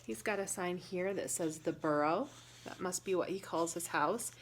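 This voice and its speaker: A woman reading a picture book aloud to young children, pausing to explain and ask questions in a deliberate, instructive way. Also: on the low side, a little hiss or hum.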